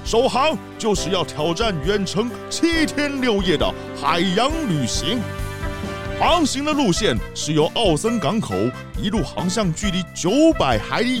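A man playing a pompous, jovial city mayor in a children's audio drama, speaking in a grand, theatrical way. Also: high-pitched.

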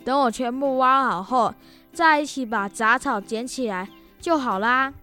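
A responsible young boy acted by a female performer with a high voice. He speaks earnestly and considerately as the elder brother in a children's radio play, over light music. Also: unhurried, bright, forceful tone. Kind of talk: children's educational radio drama acting.

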